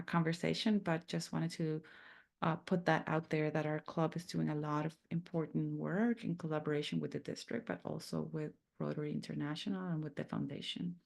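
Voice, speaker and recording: Gravelly low-voiced woman hosting. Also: darkish tone. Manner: hesitant, many fillers and restarts. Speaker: club president-elect and host. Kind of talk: introducing a panel briefing.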